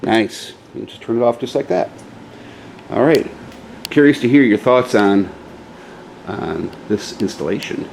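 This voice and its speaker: A man in a casual, hesitant show-and-tell, thinking out loud like a DIY vlogger in an informal, rambling way. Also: medium pitch, expressive, unhurried, long pauses. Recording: clearly noisy, radio chatter at times.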